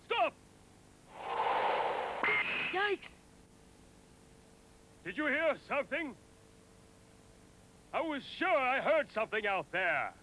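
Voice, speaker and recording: An actor playing a vain, hot-tempered, cold-hearted king in an imperious, melodramatic way for an animated fairy tale. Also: very high voice, takes it slowly, pauses often, a little hiss or hum, exaggerated voice, rough recording. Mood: startled.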